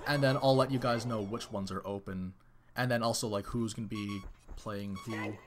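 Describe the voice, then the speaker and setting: A man chatting casually to viewers on a live game stream, excitable and rambling, with many restarts. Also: fairly low pitch, normal speed, soft background music. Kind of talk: casual live-stream chat while playing.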